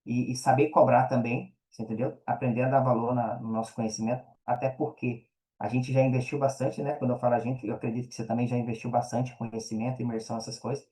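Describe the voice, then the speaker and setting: A man's voice: mid-range voice, level tone, fast, warm tone, low-quality recording. A man with a Brazilian Portuguese accent, speaking casually as an interview guest, with slangy phrasing and frequent check-in tags. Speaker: interview guest, affiliate marketer and mentor. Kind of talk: casual conversational interview answers.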